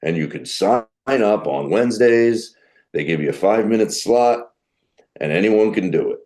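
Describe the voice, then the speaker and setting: A middle-aged man with a deep voice, a comedian telling animated stories in a podcast interview. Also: normal speed. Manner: animated storyteller who acts out quoted people. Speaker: guest comedian.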